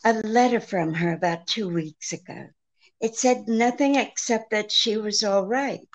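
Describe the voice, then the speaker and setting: Actress doing nervous genteel lady client, old radio mystery, really slow n halting, creaky voice. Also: mid-range voice, close to an everyday voice. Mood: worried. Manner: hesitant, halting, faltering. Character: anxious, genteel female client pleading for help. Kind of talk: 1940s radio drama acting.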